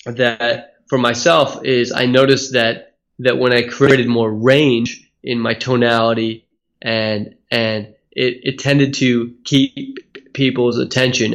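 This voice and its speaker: A man's voice: mid-range voice, level tone, slow pace, fairly bright tone. Man, from Hawaii, interview guest, speaking coach, earnest, reflective, mid-range voice. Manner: earnest, explains ideas at length. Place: Australia.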